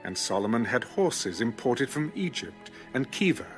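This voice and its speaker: A man narrating scripture in a measured, formal, solemn storytelling style. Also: mid-range voice, sing-song, faint music behind.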